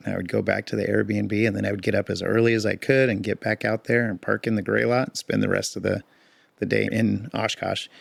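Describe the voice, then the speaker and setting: A man co-hosting a podcast in casual chat, a bit hoarse, talking in a relaxed, rambling way with fillers. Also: on the low side, no echo. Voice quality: gravelly. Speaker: podcast co-host.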